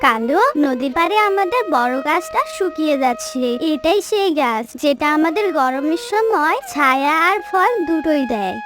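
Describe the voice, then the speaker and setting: A woman's voice: sits high, lively intonation, moderate pace, hardly any pauses, music in the background. A woman voicing a small, kind-hearted, earnest female bird in a children's cartoon. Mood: earnest, pleading.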